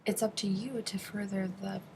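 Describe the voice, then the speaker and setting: Woman interpreting in halting phrases. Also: medium pitch, level tone, noisy background. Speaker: sign language interpreter voicing a deaf interviewee. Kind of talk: interpreted interview, spoken in first person.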